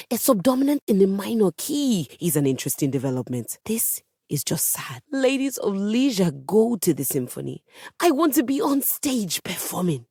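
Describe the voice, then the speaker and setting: A woman's voice: mid-range voice, darkish tone, no echo, clean studio sound. A woman voicing a haughty, melodramatic musical instrument with a composer's snobbery in a video game, speaking with theatrical, pompous emphasis. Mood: dramatic exasperation. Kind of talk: video game character voice acting.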